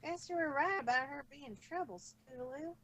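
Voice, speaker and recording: Woman as a high, feisty little filly. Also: brisk, bright, forceful tone. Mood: blunt. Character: feisty, blunt young country filly pony. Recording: clear background noise.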